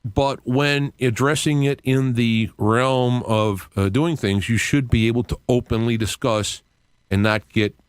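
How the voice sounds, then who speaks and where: An older man, around sixty, hosting a radio show with an earnest, reflective, unscripted monologue in halting phrases. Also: medium pitch, fairly even intonation, smooth, no room sound. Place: cluttered home radio studio, indoors. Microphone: close studio microphone with headset.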